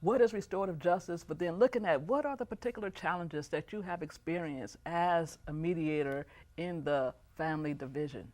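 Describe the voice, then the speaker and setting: A woman's voice: low voice, brisk, darkish tone. A woman hosting a television panel interview, posing questions to guests in turn. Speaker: host.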